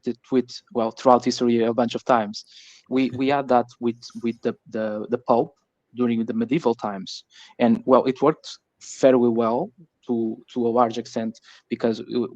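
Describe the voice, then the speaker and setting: Male discussion guest, Portuguese accent, flat intonation, halting and repetitive phrasing, muffled audio. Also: medium pitch. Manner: halting, repeats words while searching for phrasing. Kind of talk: casual political discussion. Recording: muffled, echoey voice-chat audio.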